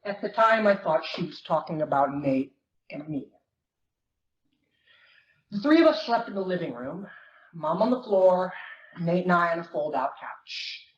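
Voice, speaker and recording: A man's voice: sits high, animated, a little slow, long pauses. A man giving a dramatic first-person reading of a novel at a live event. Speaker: performer reading aloud. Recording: muffled, noisy live event recording.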